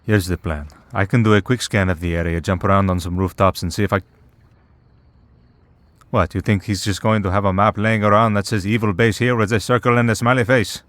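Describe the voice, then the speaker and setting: A man's voice: fairly low pitch, fairly even intonation, brisk, fairly bright tone, dry recording, close to an everyday voice. A man playing a wry, teasing vampire in a priest's collar for an ASMR roleplay, in a sardonic, conversational way. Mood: wry, planning.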